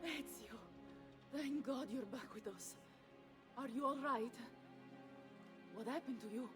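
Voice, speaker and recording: A woman's voice: high-pitched, unhurried, far from the mic, music in the background. An actress playing a commanding, teasing noblewoman with an Italian accent in a video game cutscene. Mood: concerned.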